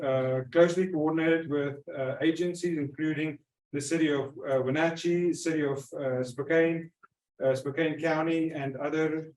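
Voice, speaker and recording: A man moderating a conference session, introducing the speaker haltingly with many filler sounds. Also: a little high, distant mic. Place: conference room. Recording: echoey room, voice off-mic.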